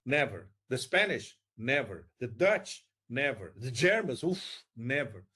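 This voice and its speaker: A man's voice: mid-range voice, sing-song, takes it slowly, pushed and bright, husky. A man giving conversational political commentary in an emphatic way, repeating words for stress.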